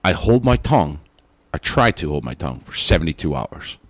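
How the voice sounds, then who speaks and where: A man's voice: a bass voice, big pitch swings, fairly quick, dry recording. A man co-hosting a casual podcast chat, talking bluntly and emphatically and swearing freely. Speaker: podcast co-host.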